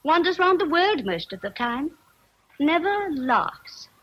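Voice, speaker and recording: An actress playing a fussy, kindly older housekeeper in a radio drama, chatty and hesitant. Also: female voice, sits high, sing-song, takes it slowly, muffled recording, full character voice. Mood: gossipy. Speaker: actor.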